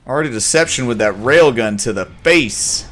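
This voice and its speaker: A man's voice: mid-range voice, animated, normal speed, fairly bright tone. A man hosting a gaming livestream, upbeat and busy, chuckling as he manages players and comments on the match. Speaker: stream host. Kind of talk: casual gaming stream commentary.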